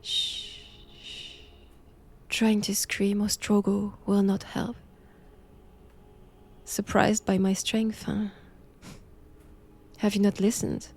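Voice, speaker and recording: Woman doing a cold french nun vampire hunter for audio roleplay, bossy n deliberate, drawn out slow w lots of vocal fry. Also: mid-range voice, level tone, darkish tone, heavily acted. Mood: menacing. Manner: measured, deliberate, commanding. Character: stern vampire-hunting nun of 1580, cold, controlled, menacing.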